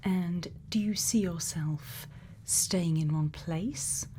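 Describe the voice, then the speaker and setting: Woman playing a slow, hushed, kindly ASMR scientist. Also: on the low side, slight background noise. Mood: gently curious. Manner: slow, soothing, pausing, reassuring. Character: gentle female scientist, quietly kind, programming a robot friend. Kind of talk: soft-spoken ASMR roleplay, often whispered.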